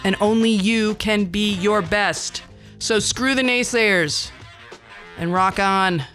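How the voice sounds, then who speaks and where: A woman's voice: mid-range voice, slow pace, a bit bright, soft background music. A woman hosting a podcast, telling comic personal stories in a playful, conversational way. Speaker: podcast host. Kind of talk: comedic personal storytelling podcast.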